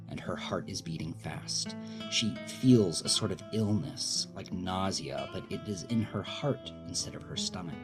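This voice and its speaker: Male narrator for dramatic audio fiction, very deep voice, plucked guitar music underneath.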